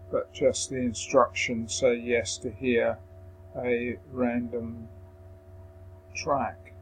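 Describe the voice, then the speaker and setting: Man, slow delivery, hesitant step-by-step software explainer, light background noise. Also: mid-range voice, low-quality recording. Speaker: tutorial presenter. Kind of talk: software screen-recording walkthrough.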